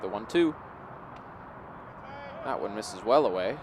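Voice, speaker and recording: A man's voice: on the low side, expressive, slow pace. A man giving live play-by-play baseball commentary as the game unfolds. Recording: clear outdoor background noise.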